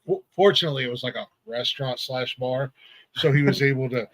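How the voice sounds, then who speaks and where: A man's voice: medium pitch, fast talker, fairly bright tone, dry recording, rough recording. A man in his forties hosting a music podcast, chatting casually, stammering and laughing as he rambles. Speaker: podcast host. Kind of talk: casual chat.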